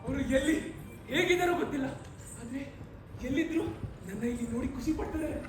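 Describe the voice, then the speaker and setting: A man on stage playing a grieving, bitter outcast dancer of the forest, with theatrical, impassioned, drawn-out delivery. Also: extremely high pitch, over music. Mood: mournful, resigned. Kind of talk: declamatory amateur stage acting. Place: indoor stage, live play. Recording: echoey hall stage recording, some noise.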